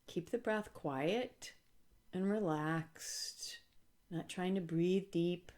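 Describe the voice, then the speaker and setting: Female guided-meditation voice, low register, unhurried pace, calm and gentle instructional delivery. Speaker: yoga instructor.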